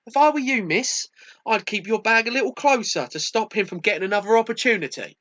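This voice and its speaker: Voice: mid-range voice, lively intonation, fairly quick, fairly bright tone, dull audio, natural voice. An amateur actor with a British accent playing an indignant, sarcastic, accusing train passenger in a radio play. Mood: dismissive. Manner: sarcastic, scolding. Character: indignant, accusing, no-nonsense London Tube passenger.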